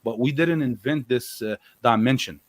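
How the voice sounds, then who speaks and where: A man's voice: mid-range voice, moderate pace, no echo. Man arguing casually, close dry sound. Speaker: guest commentator on a religious live show. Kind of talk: casual argumentative discussion.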